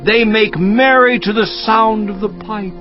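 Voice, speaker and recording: A man's voice: sits high, fairly even intonation, measured pace. A man playing an anguished, bitter, defiant sufferer of ancient scripture in an impassioned, declamatory dramatized reading. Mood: indignant, bitter. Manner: impassioned, declamatory, rhetorical questioning. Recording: dull, muffled audio with music behind.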